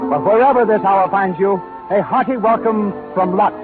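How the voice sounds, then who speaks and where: A man's voice: high voice, normal speed. Male radio announcer, formal and emphatic, 1930s style, narrow muffled broadcast sound over music.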